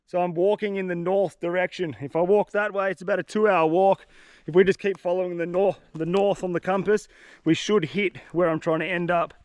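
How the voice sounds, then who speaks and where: Blocked-up vlogger, high-voiced. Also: male voice, fairly even intonation, brisk, darkish tone, no echo. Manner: laid-back, chatty, slangy. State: congested, blocked-up nose. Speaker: fishing vlogger. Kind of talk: casual outdoor vlog to camera. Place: dense humid forest, outdoors.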